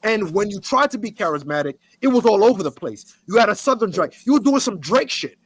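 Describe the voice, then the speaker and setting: A man's voice: sits high, very animated, brisk, slightly rough, no room sound. A man co-hosting a podcast, talking emphatically in casual chat, repeating himself and swearing. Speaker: podcast co-host.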